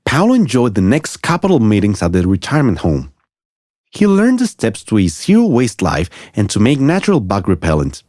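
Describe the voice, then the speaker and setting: A man narrating a children's story from a script in an expressive storytelling manner. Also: fairly low pitch, brisk, no room sound, clean studio sound.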